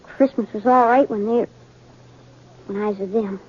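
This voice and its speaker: A woman's voice: medium pitch, fairly even intonation, normal speed, a little mellow, a little hiss or hum, close to an everyday voice. A woman playing a hesitant, plain-spoken orphaned runaway boy in a radio western. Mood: sullen, hurt. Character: orphaned young runaway boy, sullen yet curious. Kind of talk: 1950s radio western acting. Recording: dull vintage radio recording.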